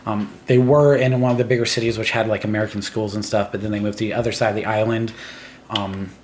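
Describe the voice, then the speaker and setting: A man's voice: fairly low pitch, steady tone, fast, darkish tone, echoey room, slight background noise. A man in his thirties who grew up in Taiwan with Chinese as his first language, a podcast guest rambling casually with lots of filler words. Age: 30s. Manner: rambling, filler-heavy, laughs between thoughts. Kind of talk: casual chat.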